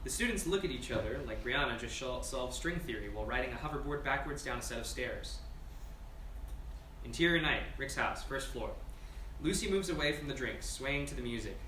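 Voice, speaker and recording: A man's voice: medium pitch, expressive, fairly quick, pushed and bright. Man narrating, echoey distant recording. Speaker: reader of scene directions. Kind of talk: scripted narration at a screenplay table read. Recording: echoey room, distant mic, noisy.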